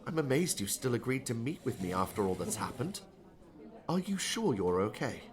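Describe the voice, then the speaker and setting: A man's voice: medium pitch, big pitch swings, moderate pace, crowd noise, close to an everyday voice. A man playing a friendly, solicitous businessman in audiobook dialogue, effusive and concerned.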